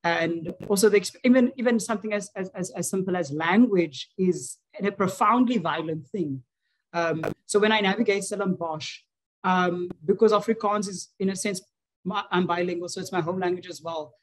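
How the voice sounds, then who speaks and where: Brief: South African woman, lowish voice, earnest panelist, stumbles and restarts. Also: normal speed, poor audio. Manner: earnest, many restarts and repeated words. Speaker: seminar fellow. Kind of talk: academic panel discussion.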